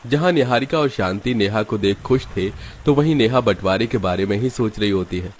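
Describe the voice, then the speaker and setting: A man's voice: lowish voice, fairly quick, a little hiss or hum. A man reading scripted narration for a family story.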